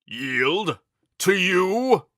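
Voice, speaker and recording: Man acting out a scornful, forceful villain knight. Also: high voice, sing-song, extremely slow, no echo, clean studio sound, voice pushed up, heavily acted. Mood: offended, scornful. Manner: scornful, declamatory. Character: sneering vengeful male knight villain, proud and scornful. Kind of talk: dramatic fantasy audiobook reading.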